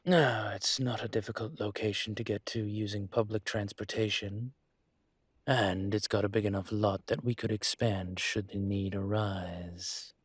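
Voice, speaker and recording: A man acts out a dragon crime lord for a roleplay audio, composed and courteous. The voice is low-pitched and husky, with very unhurried, deliberate phrasing. He sounds protective and politely in control. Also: fairly even intonation, no echo, studio quality. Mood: calm, assured. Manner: measured, polite, deliberate with pauses. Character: calm, courteous male dragon mafia boss, protective. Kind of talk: scripted roleplay audio monologue to listener.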